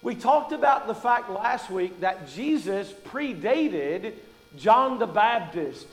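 A man's voice: high voice, sing-song, takes it slowly, in a large hall, faint music behind, poor audio. A man from Kentucky preaching a sermon as pastor, folksy and joking as he teaches.

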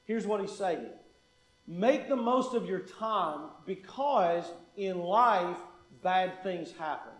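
High-voiced preaching man, echoey room. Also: expressive. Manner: earnest preaching, quick asides, repeats key phrases. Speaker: pastor. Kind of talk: sermon.

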